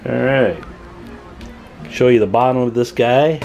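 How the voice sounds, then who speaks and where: A man's voice: mid-range voice, a little slow, vocal fry, darkish tone, faint music behind. A man talking in a folksy, chatty way as he gives a casual hands-on repair demonstration at an indoor workbench. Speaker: radio repair technician and video host.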